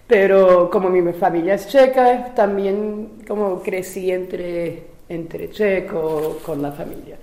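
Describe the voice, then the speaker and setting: A woman, a playwright giving an interview, talking in a casual recollecting way with pauses, fillers and uneven pace. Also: fairly low pitch, mellow, roomy sound. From Venezuela. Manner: hesitant, restarts and fillers, speeds up and slows down.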